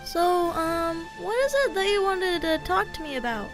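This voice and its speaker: A woman's voice: very high voice, lively intonation, normal speed, music in the background. A woman voicing a polite, frank, shy middle-school girl in a manga dub, speaking earnestly and hesitantly. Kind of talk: anime-style manga dub dialogue.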